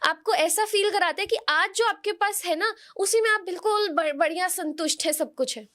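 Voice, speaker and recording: High-pitched woman giving spiritual guidance. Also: normal speed, fairly bright tone. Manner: instructive, addresses listeners directly, uses rhetorical questions and tag questions. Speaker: oracle card reader, podcast guest giving horoscope guidance.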